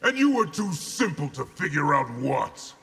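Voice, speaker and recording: Very raspy, sneering villain. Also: male voice, fairly high pitch, big pitch swings, measured pace, a bit bright, exaggerated voice. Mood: smug, threatening. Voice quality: raspy, gravelly. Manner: smug, sneering. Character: smug, scornful male criminal villain. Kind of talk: video game villain acting. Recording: reverberant game arena hall.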